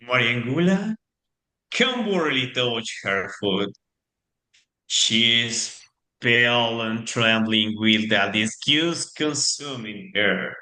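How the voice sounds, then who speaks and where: A man who is not a native English speaker narrates a horror story theatrically, in halting phrasing. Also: medium pitch, poor audio. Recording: loud music behind the voice.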